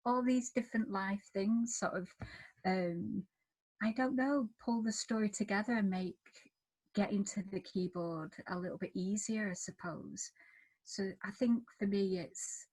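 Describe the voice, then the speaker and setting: A woman's voice: mid-range voice, low-quality recording. Informal, thoughtful woman on a writers' panel. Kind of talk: reflective panel discussion.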